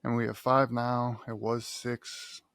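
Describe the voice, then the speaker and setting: A man's voice: mid-range voice, little pitch movement, takes it slowly, fairly bright tone, dry recording, studio quality. A man hosting a podcast in casual chat, rambling, laughing often and swearing freely. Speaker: podcast host.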